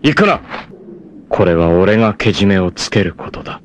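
A proud, fierce young warrior from a 1980s anime, voiced by a man. His voice sits fairly low, and his lines come grave and intense, breaking into anguished shouts. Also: expressive, normal speed, slight background noise, close to an everyday voice. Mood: resolute. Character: proud young male martial arts warrior, fierce and devoted.

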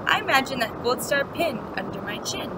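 A young woman with a high voice reads a children's story aloud in a teacherly way, using simple phrasing for little ones. The recording has clear background noise, picked up by a webcam mic. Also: sing-song, moderate pace. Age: young adult. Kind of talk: picture-book read-aloud with asides to children. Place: indoor classroom, seated.

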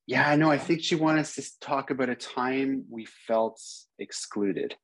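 A man, a native English speaker with a slightly husky voice, chatting casually in a group video call. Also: mid-range voice, unhurried, a bit bright. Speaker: educational technology consultant.

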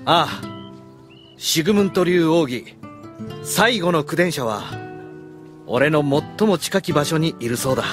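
Young swordsman, friendly, casual, highish lively voice, anime drama, music behind. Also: measured pace, long pauses. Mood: upbeat. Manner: casual, explanatory. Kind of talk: Japanese anime audio drama acting.

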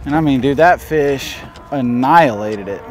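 A young man, a professional angler, giving casual running commentary from a bass boat out on a lake. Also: normal speed. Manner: casual, talks to himself and the camera crew between casts. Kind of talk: running commentary while fishing.

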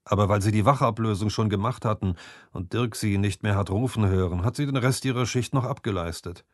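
A man's voice: on the low side, brisk, no room sound, clean studio sound, close to an everyday voice. A man voicing a stern, plain-spoken village marksman in a fantasy audiobook. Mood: stern, reproachful. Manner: firm, plain-spoken, admonishing.

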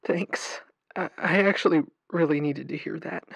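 Audio drama caller: a lovesick, anxious vampire, acted, halting and confiding, medium pitch, very dry sound. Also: vocal fry, husky, no room sound, heavily acted. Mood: relieved, grateful. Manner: hesitant, stammering, confiding. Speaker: radio show caller. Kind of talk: audio drama call-in acting.

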